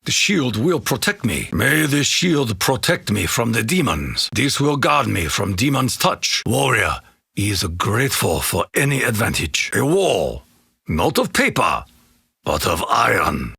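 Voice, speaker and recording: An actor playing a solemn, honor-bound Japanese warrior in a video game, with a Japanese accent and a grave, formal, measured delivery in a gravelly, husky voice. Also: male voice, on the low side, sing-song, sharp tone, no room sound, clean studio sound, exaggerated voice. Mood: stoic, determined. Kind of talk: scripted video game character quote lines.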